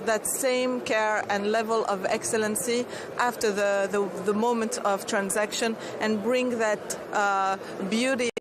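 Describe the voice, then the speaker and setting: A woman's voice: medium pitch, steady tone, a little slow. A woman in her thirties from Tunisia, a startup founder answering interview questions earnestly, with many restarts and fillers. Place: outdoor expo stand. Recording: clear street noise behind.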